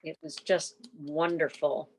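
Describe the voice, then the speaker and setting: A woman's voice: low voice, flat delivery, slow, darkish tone, a little hiss or hum. A woman chatting effusively and casually as the host of a club meeting on a video call. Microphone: video call microphone.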